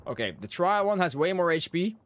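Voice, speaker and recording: A man's voice: high-pitched, big pitch swings, fairly quick, fairly bright tone, a little hiss or hum. A man livestreaming a game, chatting casually as he plays. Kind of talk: casual chat while playing. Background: music.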